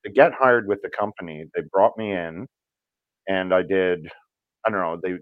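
A man who works as a voice actor, talking casually in an interview and telling stories in a relaxed, rambling way with fillers. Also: deep voice, normal speed, dry recording, narrow, tinny audio. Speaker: voice actor guest.

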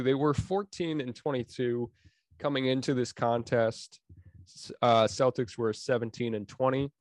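A young man co-hosting a casual sports podcast, easygoing and halting, with plenty of fillers and restarts. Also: mid-range voice, dry recording. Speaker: podcast co-host.

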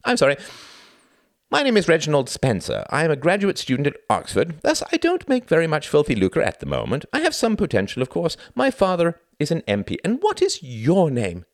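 A man's voice: mid-range voice, huge pitch swings, brisk, creaky, hardly any pauses, fairly bright tone, no echo, studio quality, pitched above the performer's own voice, heavily acted. A man playing a sarcastic, furious young husband, ranting and condescending in acted audiobook dialogue. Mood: bitter sarcasm. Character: enraged sarcastic husband, young graduate student in a failing marriage.

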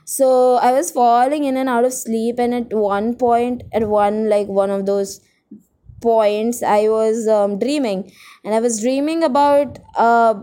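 A woman's voice: fairly high pitch, fairly even intonation, a little slow, dark tone, clean tone. A young adult woman with an Indian accent, co-hosting a podcast in a casual, rambling way, with a self-mocking, dramatic, irreverent manner. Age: young adult, college student. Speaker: podcast co-host.

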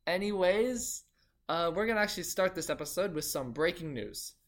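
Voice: mid-range voice, animated, moderate pace, natural voice. Someone playing an earnest pun-making colonist host. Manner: earnest, slightly awkward, laughs at own jokes. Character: earnest young male French colonist who makes puns. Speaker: podcast host. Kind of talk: amateur scripted roleplay.

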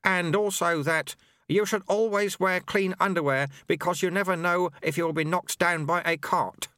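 Elderly storybook monk, voiced by a male narrator: raised pitch, serene, wry, deadpan sage. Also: normal speed, no echo, studio quality. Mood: sagely deadpan. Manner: sage, deadpan, quoting proverbs.

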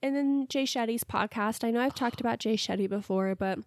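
A woman's voice: a little high, brisk, no room sound. Young woman, stuffy nose, casual chatty podcast co-host, dry close sound. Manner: chatty, relaxed, rambling with fillers. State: stuffy, congested. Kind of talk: casual chat.

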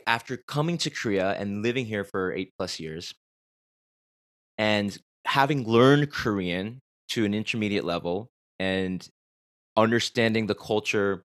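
A man's voice: fairly low pitch, fairly bright tone, no room sound, clean studio sound. An American man hosting a podcast, talking reflectively and rambling a bit with restarts. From United States. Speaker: podcast host. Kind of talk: conversational podcast interview.

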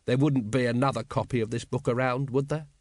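A man's voice: mid-range voice, steady tone, a little fast, no room sound, natural voice. Man as a weary, cynical watch captain. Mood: weary, dry. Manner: weary, dry, hesitant.

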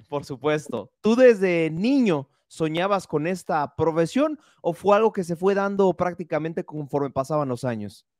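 A man's voice: on the high side, lively intonation, unhurried, dry recording, studio quality. Chatty young male podcast host. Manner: warm, chatty, frequent hesitations. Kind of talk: casual interview hosting.

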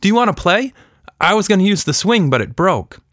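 A high, eager little girl's voice, as a man puts it on, curious and chatty. Her lines lift and fall a lot. The read is dry and close. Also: big pitch swings, brisk, fairly bright tone, no room sound. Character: curious, lively young girl.

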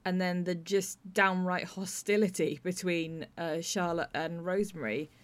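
British female podcast host and writer, lowish voice, conversational discussion style. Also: fairly even intonation, a little slow.

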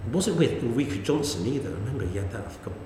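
Animated man in an echoey room. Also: rapid-fire, slight background noise. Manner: chatty anecdotal storyteller, lively swings in pitch. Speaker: podcast co-host. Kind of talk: casual chat. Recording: echoey room with long reverb.

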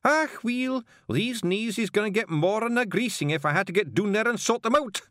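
A man voicing a bossy bearded stranger with a gruff, blustering Scottish accent. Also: sits high, sing-song, normal speed, a bit bright, no room sound, studio quality, voice pushed up, full character voice. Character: bossy bearded stranger, several little men.

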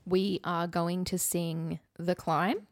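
A woman of about thirty tells stories casually as a podcast co-host. Her voice sits a little low and often drops into vocal fry, and the sound is close and dry. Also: little pitch movement, slow pace, no echo. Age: late 20s to early 30s. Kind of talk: casual chatty storytelling.

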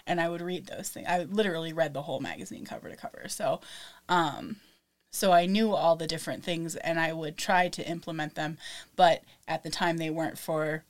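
A young woman co-hosting a podcast, chatting casually with lots of fillers and laughs, a little raspy. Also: low-pitched, steady tone, a little fast. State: slightly raspy from vaping. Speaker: podcast co-host. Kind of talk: casual chat.

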